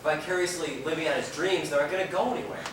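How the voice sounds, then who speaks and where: An actor playing a weary, disillusioned musician in a stage play, brooding and earnest. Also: male voice, a little high, very fast, close to an everyday voice. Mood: sullen, frustrated. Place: theater stage, far microphone. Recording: echoey, distant, noisy videotaped stage.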